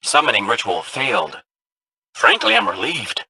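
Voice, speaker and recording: A man playing a wry, flippant sidekick in a video game, with a gravelly, husky voice and a sardonic manner. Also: medium pitch, sing-song, normal speed, sharp tone, slight background noise, full character voice.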